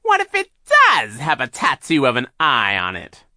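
A man voicing a scheming male villain disguised as a prim lady receptionist, in a coy mock-ladylike falsetto. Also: wildly swooping pitch, moderate pace, bright, forceful tone, no echo, clean studio sound, exaggerated voice. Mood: sly.

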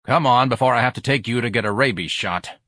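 A man's voice: low voice, expressive, moderate pace, fairly bright tone, no room sound. Man playing a curt, weary businessman husband. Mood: irritated. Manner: dry, curt, dismissive.

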